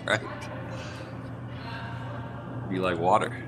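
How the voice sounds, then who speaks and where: A man doing color commentary on a curling match, calmly explaining shot choices. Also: deep voice, drawn-out words, noisy background. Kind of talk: sports commentary.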